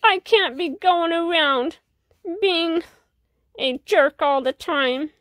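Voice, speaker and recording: A woman's voice: extremely high pitch, slow pace, fairly bright tone, full character voice. A woman voicing an earnest, repentant policeman in a storybook, eager to do better. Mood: earnest, resolute.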